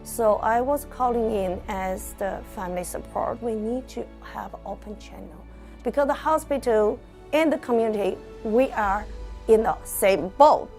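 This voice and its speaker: A woman's voice: a little high, measured pace, a little mellow, soft background music. A woman, giving a personal testimonial. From outside Canada, moved there as an adult. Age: 50s. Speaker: insurance agency owner.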